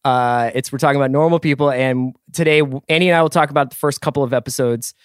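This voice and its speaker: A man's voice: medium pitch, steady tone, fast talker, clear, resonant tone, dry recording, studio quality. An American man hosting a podcast in a casual, jokey, exuberant manner. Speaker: podcast host. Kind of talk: casual chat and sponsor read.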